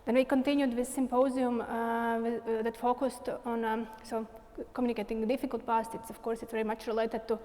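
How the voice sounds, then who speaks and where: A woman curator and researcher speaking on a panel in a halting way, often repeating words. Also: on the high side, little pitch movement, warm tone, in a large hall. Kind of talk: academic panel talk.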